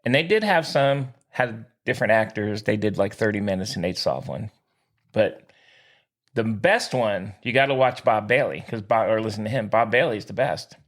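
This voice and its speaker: A man hosting a podcast, bantering casually in an excitable, animated way. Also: lowish voice, moderate pace. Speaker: podcast host.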